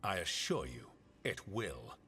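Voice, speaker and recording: An actor playing a stern, dismissive military commander in a video game, speaking with pompous, deliberate authority. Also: male voice, low-pitched, very animated, bright, forceful tone, breathy edge, full character voice. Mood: stern, accusing. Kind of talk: dramatic video game dialogue. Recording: long reverb, music behind.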